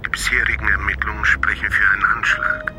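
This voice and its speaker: A man playing a quietly suspicious inspector. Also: fairly low pitch, level tone, brisk, natural voice. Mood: questioning. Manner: quiet, probing questions. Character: calm male police inspector, quietly suspicious. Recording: loud music underneath.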